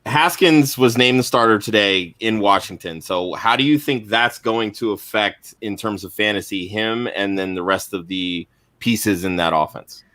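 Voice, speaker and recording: A man's voice: on the low side, moderate pace, bright, forceful tone. A man co-hosting a casual podcast chat, speaking in a gushing, enthusiastic way. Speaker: co-host.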